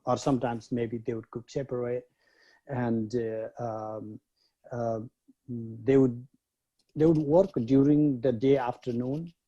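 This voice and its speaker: A man from Nepal, a guest scholar, recalling his upbringing in an unhurried way with many pauses. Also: medium pitch, warm tone, highs cut off. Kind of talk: reflective interview talk.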